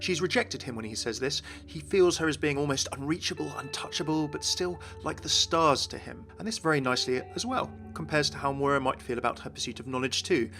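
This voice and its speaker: A man's voice: a little high, fairly quick, few pauses, pushed and bright. A man presenting game lore as an enthusiastic scripted video narration. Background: music.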